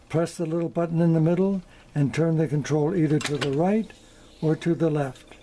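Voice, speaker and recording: Older man, mellow and measured. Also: a little high, a little hiss or hum. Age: older, around 70s. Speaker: presenter of vintage electronics. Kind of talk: scripted product demonstration narration. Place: small indoor electronics workshop.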